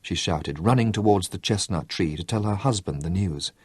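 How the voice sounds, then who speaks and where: A man narrating a novel as an audiobook, in a measured storytelling manner. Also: low-pitched, husky, no room sound, studio quality.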